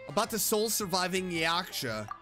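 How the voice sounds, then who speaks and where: A woman's voice: lowish voice, sing-song, moderate pace. A woman streaming a video game, giving casual reaction commentary as she plays. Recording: game music behind the voice. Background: game music.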